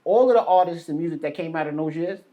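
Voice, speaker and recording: A man with a notably high-pitched voice chats on a podcast. His delivery is informal, conversational and full of slang. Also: quick pace, lo-fi sound. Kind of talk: casual podcast chat.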